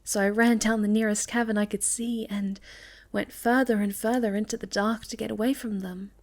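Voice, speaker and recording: A woman playing a sweet, shy young moth girl in an ASMR roleplay, speaking gently and hesitantly, often dropping to a breathy near-whisper. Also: medium pitch, fairly even intonation, normal speed, close to an everyday voice. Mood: hesitant storytelling. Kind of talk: ASMR roleplay, soft intimate storytelling to the listener.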